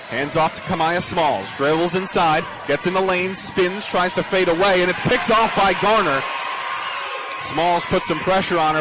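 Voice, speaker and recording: A young man doing live radio basketball play-by-play in clipped, punchy phrases with a raised voice. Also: fairly high pitch. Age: young adult. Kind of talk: live radio sports commentary. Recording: noisy gym ambience. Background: bouncing basketballs, gym noise.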